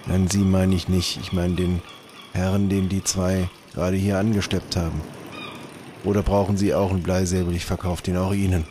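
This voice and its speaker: Male player as wheeling-dealing merchant: low voice, drawn-out politeness, slightly pompous, improvised audio play, faintly noisy recording. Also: flat delivery, mellow, lightly acted. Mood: courteous, sly. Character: male trader hawking wares, polite, slightly pompous haggler.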